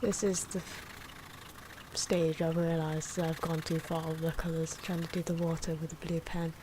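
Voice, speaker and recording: A soft-spoken, hesitant woman giving ASMR commentary on her own drawing, with pencil and marker noises. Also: sits low, level tone, moderate pace, background noise. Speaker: artist narrating own drawing video.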